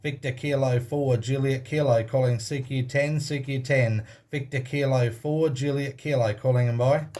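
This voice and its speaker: A man's voice: medium pitch, fairly even intonation, moderate pace, few pauses, a little mellow. An Australian man talking casually and matter-of-factly as an amateur radio operator making contact with another station. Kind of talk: casual radio contact chat with call signs.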